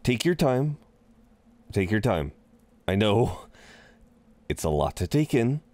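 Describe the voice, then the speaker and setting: A man playing an amused, seductive incubus in an intimate roleplay audio drama, teasing and soothing in an unhurried, halting way. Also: on the low side, big pitch swings, darkish tone. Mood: calm, curious. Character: amused, reassuring, seductive male incubus innkeeper.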